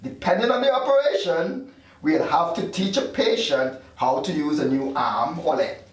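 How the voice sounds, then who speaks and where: An unruffled medical supervisor played by a man explains things in a drama read-through. The voice is fairly high and swings widely in pitch, heard across an echoey room. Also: expressive, normal speed, lightly acted. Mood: matter-of-fact. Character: matter-of-fact male medical supervisor in the afterlife. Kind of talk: script table read. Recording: echoey room, distant mic.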